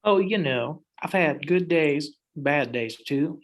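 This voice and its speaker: Man playing a laconic, higher-voiced oddball cowboy. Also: lively intonation, takes it slowly. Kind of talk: comedy web series acting.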